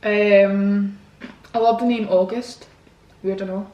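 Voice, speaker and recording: A woman's voice: mid-range voice, steady tone, slow pace, roomy sound, highs cut off. A woman, chatting frankly and casually to her viewers while answering their questions. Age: 20s. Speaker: vlogger. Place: home, indoors. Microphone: camera mic.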